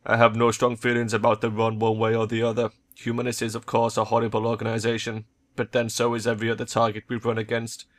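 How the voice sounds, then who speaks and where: A guarded cyborg woman voiced by a man, cool and detached, in a mid male register with a bright edge. She is a cyberpunk game teammate who keeps an icy monotone and gives little away. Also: mid-range voice, fast, natural voice.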